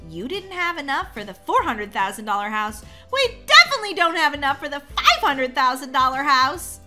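A woman playing an eager, expressive young wife hunting for a first home in a game roleplay story. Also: sits high, moderate pace, over loud music. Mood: dismayed.

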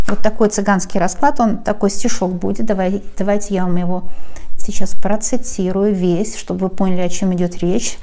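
A woman's voice: medium pitch, warm tone. A woman giving a casual tarot reading to viewers, explaining cards as she handles them and reading English card text with a Russian accent. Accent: Russian, when reading English. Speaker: tarot reader. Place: at a wooden table, overhead camera on the cards.

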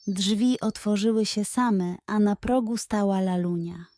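Woman narrator, bedtime story for children, gentle, expressive, unhurried delivery, soft dark tone, dry sound. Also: medium pitch, no room sound.

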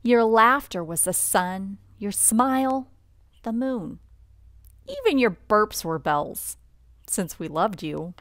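Slow-reading woman, soft bedtime storyteller. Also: mid-range voice, dry recording, clean studio sound. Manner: gentle, unhurried, expressive storybook delivery with soft drops. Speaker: storyteller reading a picture book aloud. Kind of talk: bedtime story read-aloud.

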